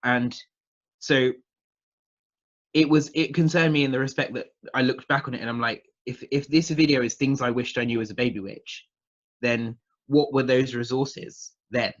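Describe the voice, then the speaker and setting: Man, thirties, southern English accent, middle-pitched voice, casual interview chat, hesitant and rambling, dull lo-fi sound. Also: steady tone, moderate pace. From England, near London. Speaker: interview guest, witchcraft practitioner.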